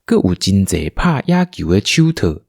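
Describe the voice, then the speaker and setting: A man's voice: low-pitched, big pitch swings, unhurried, gravelly, darkish tone, no echo, studio quality. A man with a Taiwanese accent narrating a scripted audio drama as a storyteller.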